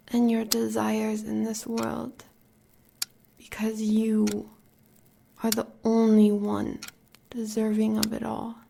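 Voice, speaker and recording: With clear noise behind her, a woman acts out a devoted, fierce American huntress in an audio roleplay. Her voice is breathy and creaky, edging toward a whisper. She consoles her lover in slow, solemn, poetic phrases. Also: medium pitch, mellow. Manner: solemn, measured, poetic delivery.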